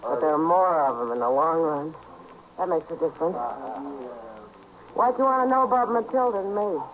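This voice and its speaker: A woman's voice: lowish voice, moderate pace, slight background noise, close to an everyday voice. A woman playing a frank, worldly American frontier woman, knowing and guarded, in a 1950s radio western. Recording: muffled old radio recording, music behind.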